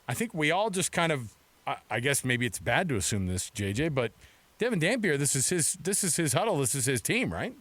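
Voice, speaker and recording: A man's voice: a little high, very animated, fairly quick, a bit bright, no room sound. A man hosting a sports radio show, chatting casually about the sport.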